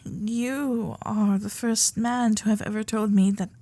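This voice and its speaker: A woman voicing a frank, flustered young Gilded Age society lady in a romantic ASMR audio drama, speaking earnestly in formal period phrasing with breathy asides. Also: medium pitch, fairly even intonation, a little slow, dark tone. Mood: shyly smitten.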